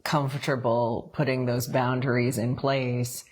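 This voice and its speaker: A woman's voice: a bass voice, little pitch movement, unhurried, darkish tone, slightly rough. A woman, co-hosting a casual morning talk show and chatting, laughing easily. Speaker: co-host.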